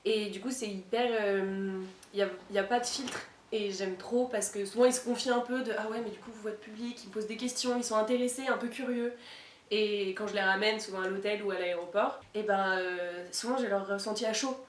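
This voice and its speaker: A woman's voice: mid-range voice, steady tone, fairly quick, recorded from a distance. A woman talks casually, telling anecdotes in a conversational flow. The room is echoey and there is faint noise behind her. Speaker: artist driver for event crew.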